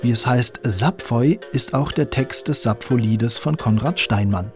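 A man's voice: medium pitch, a little slow, over music. A man reading scripted narration as the narrator of a radio documentary.

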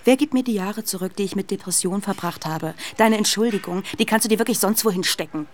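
A woman's voice: medium pitch, quick pace, slight background noise, lightly acted. A woman acting a wounded young feminist activist in a radio drama, intense and accusing. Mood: furious, hurt.

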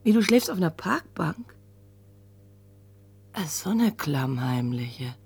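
An actress playing a blunt, nosy shopkeeper with a colloquial, muttering way of talking in a radio drama. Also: female voice, deep voice, big pitch swings, slow pace, darkish tone, husky, no room sound, full character voice. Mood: scornful. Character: brusque, nosy, heavy-set female kiosk keeper. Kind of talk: German radio drama acting.

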